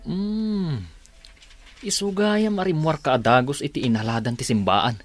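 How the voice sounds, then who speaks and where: An actor playing a sly, scheming petty thief in an Ilocano radio drama. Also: male voice, a little high, measured pace, faint music behind, natural voice. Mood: eager, sly. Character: scheming petty thief who preys on churchgoers.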